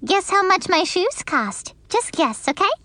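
A woman's voice: very high-pitched, big pitch swings, unhurried, sharp tone, no room sound, pitched above the performer's own voice, full character voice. A woman voicing a vain, stuck-up rich little girl with a haughty, drawling delivery.

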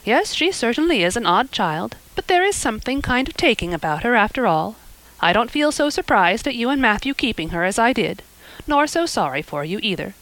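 A hearty, outspoken neighbour woman, officious but kindly, who talks in plain country turns of phrase, put on by a woman narrator. Her voice rises high and swings about, and it sounds dry and close to the mic. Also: very animated, a little fast, a bit bright, dry recording, low-quality recording. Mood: hearty, folksy. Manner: hearty, folksy, rural talk. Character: outspoken, bustling, kindly middle-aged woman.

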